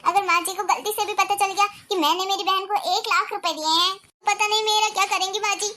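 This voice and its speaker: A woman's voice: very high voice, steady tone, fairly quick, bright, forceful tone. A woman acting an anxious young wife who frets and pleads in a family drama. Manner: fretful and pleading.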